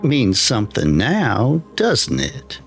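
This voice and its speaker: A man's voice: mid-range voice, wildly swooping pitch, slow pace, gravelly, soft background music, heavily acted. A man voicing a plain-spoken, wistful retired engineer of eighty-one in an audio horror story, in clipped sentences. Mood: pointed, grave.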